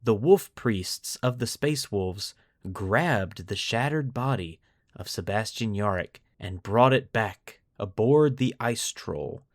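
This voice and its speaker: A man hosting a lore podcast, telling the story in a deliberate, semi-scripted narrative style with dramatic pauses. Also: lowish voice, expressive, dry recording, clean studio sound. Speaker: podcast host.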